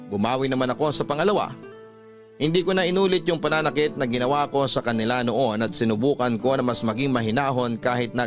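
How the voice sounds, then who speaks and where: A man's voice: medium pitch, natural voice. A man playing a remorseful working-class Filipino family man, narrating his life story in a confessional, reflective way for a radio drama.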